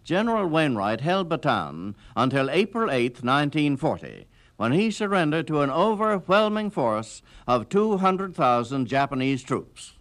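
A man's voice: fairly high pitch, big pitch swings, measured pace, fairly bright tone. A man delivering dramatic scripted historical narration as a documentary narrator.

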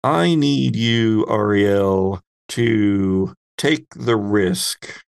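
A man narrates a tabletop game scene in a lowish voice with plenty of creak. He describes things haltingly and takes his time, and the sound is close and dry. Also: no echo. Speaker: game master.

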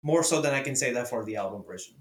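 A man's voice: medium pitch, quick pace. A young man whose first language is Urdu or Hindi, hosting a casual music podcast and talking with enthusiasm and lots of fillers. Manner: enthusiastic, lively, many fillers. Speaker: podcast host. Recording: echoey room.

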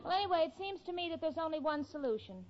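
An exasperated, level-headed young woman in a 1940s radio comedy. Her high voice swings widely as she makes her earnest, wry points. The old recording is dull. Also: expressive, rapid-fire, heavy background noise. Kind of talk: 1940s radio sitcom acting.

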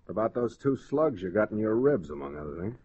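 A man's voice: fairly low pitch, very animated, a little fast, mellow, slight background noise. A man playing a terse, businesslike, fair-minded lawman in a 1940s radio crime drama. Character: brisk, fair-minded lawman questioning a gangster. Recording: muffled old radio broadcast.

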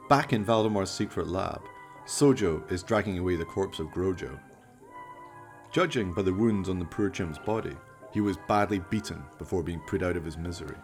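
A man's voice: deep voice, moderate pace, faint music behind. A man narrating a scripted radio play in a dramatic storytelling manner.

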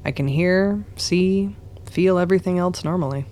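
A woman's voice: lowish voice, normal speed, dark tone, a little hiss or hum, natural voice. An actress playing a blunt, irreverent young woman in a radio drama, plain-spoken and sardonic. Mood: frank. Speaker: voice actor in a radio play.

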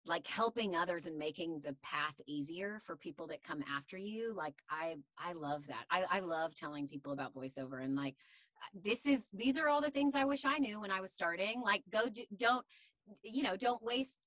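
A woman voice actor with a deep voice, talking candidly and chattily in an interview, with many fillers. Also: animated, moderate pace, fairly bright tone, no echo. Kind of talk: casual interview chat.

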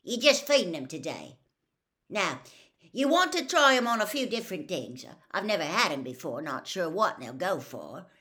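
A woman voicing a huge, cheerful half-giant gamekeeper in an audiobook, loud and enthusiastic. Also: lowish voice, big pitch swings, moderate pace. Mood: hearty. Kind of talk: audiobook character voice.

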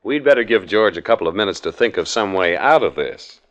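Animated old-time radio announcer man. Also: on the low side, a little fast. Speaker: announcer and narrator. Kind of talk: 1940s radio announcing, scripted narration.

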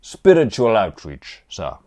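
A man performs an unflappable valet in a storybook. He is formal, precise and deferential, with a measured delivery and lively intonation, recorded very dry. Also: on the low side, no room sound, exaggerated voice. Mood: calm, deferential. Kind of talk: audiobook character dialogue.

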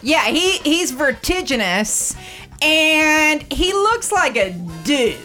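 A woman's voice: sits high, big pitch swings, takes it slowly, pushed and bright, faint music behind. A woman co-hosting a radio show in casual chat, with a lisp and a gushing, chatty way of talking.

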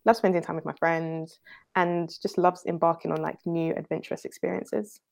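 A woman's voice: lowish voice, level tone, moderate pace, creaky. A young woman from North London with a London accent, chatting as a guest in an interview, laughing now and then and using "like" a lot. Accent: London English. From North London, England. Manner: chatty, laughs often, leans on "like" and "um". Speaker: guest entrepreneur. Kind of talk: casual interview talk.

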